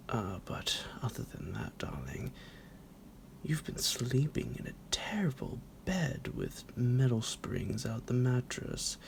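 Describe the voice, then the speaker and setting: A man playing a centuries-old psychotic vampire captor in an ASMR roleplay, possessive and mock-tender, speaking theatrically in a breathy, gravelly whisper that drops into a low creak. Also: full character voice. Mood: sinister, doting. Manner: slow, theatrical, menacingly affectionate, chuckling. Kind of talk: ASMR roleplay addressed to the listener.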